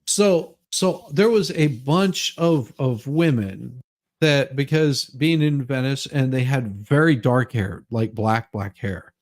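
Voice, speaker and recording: A man's voice: mid-range voice, takes it slowly. A man doing a comedic dramatic reading with rambling, repetitive, mock-outraged banter. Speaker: co-reader in a dramatic reading stream.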